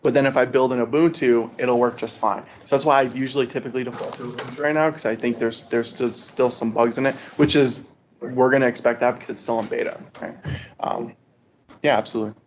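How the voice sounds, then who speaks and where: A man's voice: medium pitch, steady tone, fast. Guy doing a casual tech walkthrough for a study group, says right a lot, muffled lo-fi sound, bit of noise. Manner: conversational, often tags sentences with "right?". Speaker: presenter of a study group session. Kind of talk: informal technical walkthrough with live demo. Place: group meetup with video conference call. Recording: dull, lo-fi audio with background noise.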